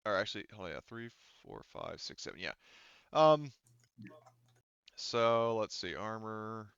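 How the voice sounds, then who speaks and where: A man's voice: fairly low pitch, big pitch swings, a little slow, vocal fry, a bit bright, clean tone. A man casually explaining rules and narrating as the game master of a tabletop roleplaying game. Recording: dull lo-fi microphone.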